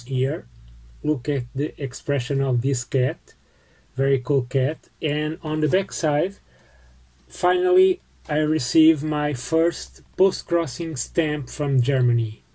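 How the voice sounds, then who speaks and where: A man in his forties with a Portuguese accent, casually showing his postcards at home and talking through each one with small pauses. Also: on the high side, fairly even intonation, mellow. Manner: unhurried, friendly, pauses with fillers while showing items. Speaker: postcard collecting vlogger. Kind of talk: casual show-and-tell vlog. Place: small home room lined with bookshelves, indoors.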